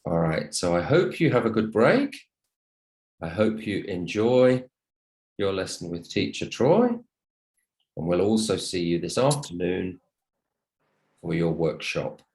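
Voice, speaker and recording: Guy teaching english on an online call, deep voice, polite and encouraging to the students. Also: very animated, takes it slowly, pauses often, a little mellow. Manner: polite, encouraging, thanks listeners often. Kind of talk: online lesson talk to students. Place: online video call session.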